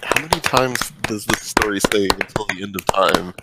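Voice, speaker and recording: A man's voice: on the low side, normal speed, a loud crowd. A man in casual, joking chat on a podcast, with some noise on the recording. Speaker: podcast participant.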